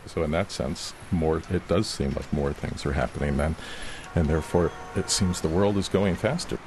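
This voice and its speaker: A man, a professor, giving halting and thoughtful explanations as an interviewed expert. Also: rumbling low, darkish tone, a little hiss or hum.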